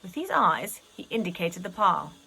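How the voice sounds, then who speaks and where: Animated, bright-voiced woman reading a storybook. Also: on the low side, moderate pace, vocal fry, a little hiss or hum. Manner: animated, dramatic, with whispered suspense. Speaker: reader, school staff member. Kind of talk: expressive storybook read-aloud for children.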